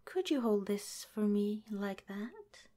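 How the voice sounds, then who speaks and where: A woman voicing a gentle, caring female head scientist of a secret underground lab, speaking in a soft, slow whisper. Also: mid-range voice, lively intonation. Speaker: ASMR roleplay performer. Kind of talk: ASMR roleplay.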